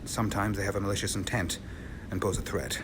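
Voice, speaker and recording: A man's voice: lowish voice, fairly even intonation, fast talker, lightly acted. A man playing an authoritative investigator of otherworldly visitors in a radio drama, speaking with dramatic assurance. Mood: grave, explanatory. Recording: clear background noise.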